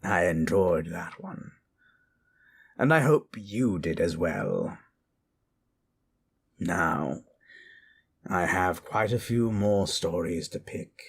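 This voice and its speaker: A man hosting scary stories as an undead necromancer persona, speaking theatrically and deliberately with a husky, breathy voice. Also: low-pitched, lively intonation. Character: undead necromancer storyteller persona, wryly amused. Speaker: host reading scary stories. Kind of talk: theatrical horror storytelling and commentary.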